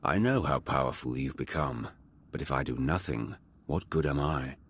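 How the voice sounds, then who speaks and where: A man's voice: extremely low pitch, normal speed. A man playing a grave, stoic, resolute hero in a video game, speaking in a terse and solemn way. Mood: weary resolve. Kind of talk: video game cutscene acting.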